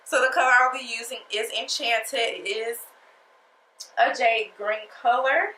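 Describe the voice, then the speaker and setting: A woman's voice: a little high, measured pace, bright, forceful tone, echoey room, a little hiss or hum, low-quality recording. A woman talking through a makeup tutorial in a casual, sassy, chatty way, her nose stuffed up from a cold. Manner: chatty, sassy, talks straight to viewers. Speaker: beauty vlogger.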